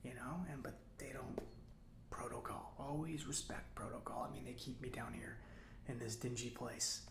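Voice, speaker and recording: A man playing a friendly, nerdy, chatty robot mechanic in a soft-spoken ASMR roleplay, breathy and rambling with casual hesitations. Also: medium pitch, steady tone, normal speed, roomy sound, background noise, lightly acted. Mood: resigned, confiding. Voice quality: soft, breathy, often near whisper. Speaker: repair shop mechanic character.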